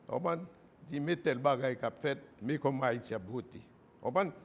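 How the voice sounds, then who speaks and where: A man's voice: medium pitch, normal speed, a little hiss or hum. A man who is a former colonel, speaking as a guest on a talk show and often asking whether he is understood. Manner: emphatic, keeps asking "you understand?". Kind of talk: political talk show interview.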